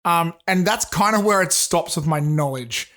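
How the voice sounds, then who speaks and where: Man, podcast co-host, sore throat, rambling, full of ums, sits a bit higher in pitch. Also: normal speed, a bit bright. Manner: rambling, hesitant, swears. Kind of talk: casual chat.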